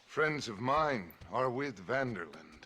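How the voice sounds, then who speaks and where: An actor playing a solemn, plain-spoken man from a reservation in a video game, measured and direct. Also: medium pitch, big pitch swings, bright, forceful tone, breathy edge, heavily acted. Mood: grave, reproachful. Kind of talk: restrained video game acting.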